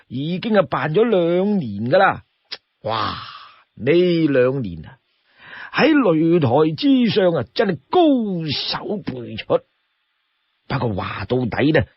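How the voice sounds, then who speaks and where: A man voicing a talkative old townsman in Cantonese, sighing and exclaiming in awe with drawn-out emphasis. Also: fairly high pitch, husky, no echo, lo-fi sound, full character voice. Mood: awed, excited. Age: older. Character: talkative old male townsman, awed and gloomy storyteller. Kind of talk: dramatized Cantonese storytelling.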